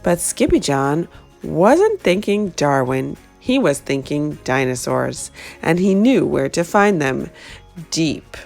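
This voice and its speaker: A woman reading a children's picture book aloud in an expressive, animated storytelling style. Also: low voice, slow, gravelly, faint music behind.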